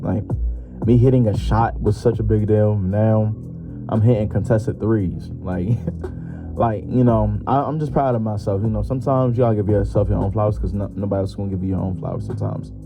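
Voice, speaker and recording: Young male podcaster, 21, low voice, rambling slangy solo chat, muffled recording over loud music. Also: a little fast. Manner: loose, joking, slangy with lots of fillers. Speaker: podcast host. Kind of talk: casual solo podcast monologue.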